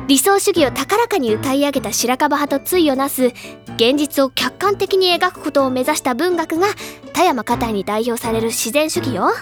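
A woman playing an excitable, self-assured high-school literature-club girl in an audio drama, speaking in a dramatic, lecturing way. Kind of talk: anime-style audio drama acting.

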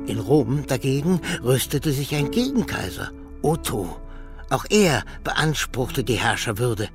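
Man doing scheming older professor in a german radio play, snooty lecturer type, obsessed, loud music under it. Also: mid-range voice, lightly acted. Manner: lecturing, contemptuous. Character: arrogant, obsessed archaeology professor and scheming villain. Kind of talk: German radio drama acting.

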